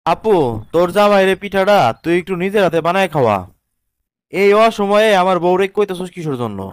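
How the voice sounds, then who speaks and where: A man's voice: sits high, fairly quick, clean tone, no room sound, close to an everyday voice. A man playing an earnest, protective young husband in a cartoon, reasoning and lecturing in a colloquial Bangladeshi accent. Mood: reproachful. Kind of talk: cartoon drama dialogue.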